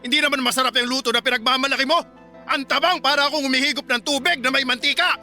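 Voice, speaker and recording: Radio drama: hot-headed Filipino father, loud and insulting, very forceful, fast delivery. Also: extremely high pitch, steady tone, soft background music, heavily acted. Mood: angry, scornful. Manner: loud, scornful, argumentative. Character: short-tempered, insulting, bullying Filipino husband and father.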